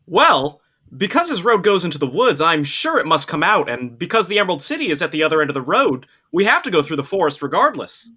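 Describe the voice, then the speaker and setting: A straw-stuffed scarecrow, played by a man, with a bright, forceful voice that runs fairly high. He reasons earnestly and matter-of-factly, as in an audio drama read. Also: big pitch swings, fast, breathy edge, full character voice. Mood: earnest reasoning.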